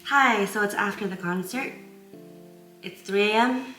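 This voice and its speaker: A woman's voice: mid-range voice, measured pace, pushed and bright, reverberant, soft background music, rough recording. A tired woman vlogger talking casually about her outing. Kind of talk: casual vlog chat.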